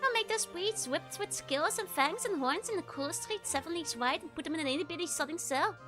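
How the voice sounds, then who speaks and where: A woman's voice: very high voice, a little fast, over music, pitched above the performer's own voice. A Dutch-accented woman reading the lines of a weary male former sellsword, with a light dramatic tone. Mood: grim storytelling.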